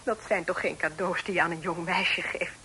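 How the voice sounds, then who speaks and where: A woman's voice: fairly low pitch, steady tone, moderate pace, pushed and bright, breathy edge, exaggerated voice. An actress playing an easily frightened, distressed young lady in a period radio drama, speaking formally and pleadingly. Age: young adult. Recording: noisy old radio drama recording.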